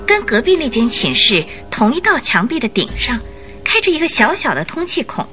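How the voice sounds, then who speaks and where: 一位女旁白以富有戏剧性的方式讲述广播剧故事。. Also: female voice, sits high, sing-song, a little fast, sharp tone, faint music behind. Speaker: narrator. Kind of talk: dramatic scripted storytelling narration.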